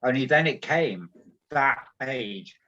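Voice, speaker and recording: A man's voice: medium pitch, animated, slow pace, pushed and bright. British man on a muffled call. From Britain. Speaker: poet, event participant. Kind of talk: informal introduction and poetry reading. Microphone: online group call. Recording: dull lo-fi online call.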